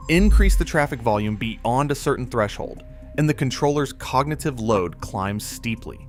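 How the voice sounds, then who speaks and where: A man giving scripted narration for an explainer video, in a measured, even, explanatory delivery. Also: mid-range voice. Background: faint background music.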